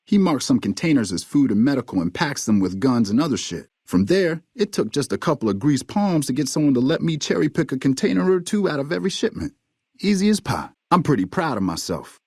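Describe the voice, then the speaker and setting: An actor playing a nervous, foul-mouthed smuggler and hustler in a video game, cocky but jittery. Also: male voice, mid-range voice, darkish tone, breathy edge, no room sound, clean studio sound. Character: fast-talking, foul-mouthed male hustler, nervous smuggler under blackmail. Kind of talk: video game dialogue acting.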